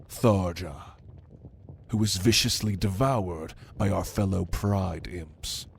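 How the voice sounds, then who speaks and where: Man acting a slow, menacing, haughty demon. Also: low voice, gravelly, a little mellow, a little hiss or hum, full character voice. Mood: dry, teasing. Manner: slow, deliberate, intimidating, dryly teasing. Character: menacing male demon, prince's right hand, haughty and hungry. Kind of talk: ASMR audio roleplay, addressing the listener.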